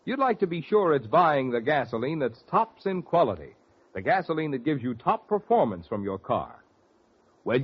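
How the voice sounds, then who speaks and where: A male radio announcer delivering a polished, emphatic commercial pitch in 1940s style. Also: breathy edge. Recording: dull vintage radio recording.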